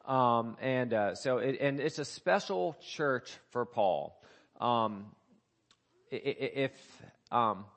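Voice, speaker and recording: A man serving as pastor, preaching to his congregation with a scratchy voice from a coming cold, talking haltingly with many fillers and restarts. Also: medium pitch, fairly bright tone. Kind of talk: sermon. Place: church sanctuary.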